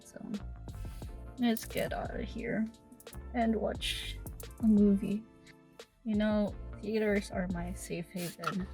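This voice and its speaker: A woman's voice: mid-range voice, fairly even intonation, takes it slowly, long pauses. A Filipino woman in her twenties, a native Filipino speaker, chatting casually to camera in a vlog and laughing often. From Philippines. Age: 20s. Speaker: vlogger. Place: outdoors and in a city on a day trip. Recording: distant mic, loud background music.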